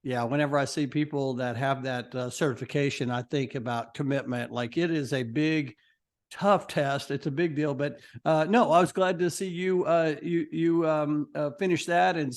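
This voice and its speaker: An upbeat male podcast host with a fairly high voice for a man. He talks with eager enthusiasm, often restarting his sentences and adding fillers as he introduces and questions his guest. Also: steady tone, normal speed. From Texas.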